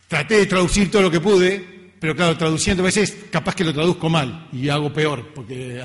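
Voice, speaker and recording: A middle-aged man with a Latin American accent giving an informal academic talk in a conversational, self-deprecating way. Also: on the high side. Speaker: research scientist giving a lecture. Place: lecture hall. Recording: reverberant hall.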